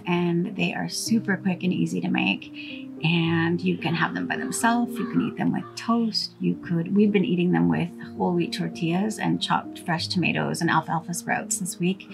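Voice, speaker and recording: A woman's voice: on the low side, gravelly, rough recording. A middle-aged woman chatting casually as a cooking vlogger, friendly and prone to wandering off topic, talking to camera outdoors by a brick wall. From Canada.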